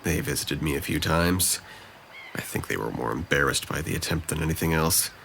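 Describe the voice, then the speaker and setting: A man's voice: extremely low pitch, brisk, vocal fry, a bit bright, a little hiss or hum, full character voice. A man acting a depressed, guarded young man in an audio drama, speaking with dry, self-deprecating hesitance. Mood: downcast, confessing.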